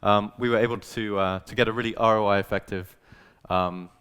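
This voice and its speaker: Smooth, low-voiced man giving an informal talk. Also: fairly even intonation, fairly quick, fairly bright tone. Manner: casual, explanatory, frequent "like". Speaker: conference speaker, growth manager. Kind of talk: informal business presentation to an audience.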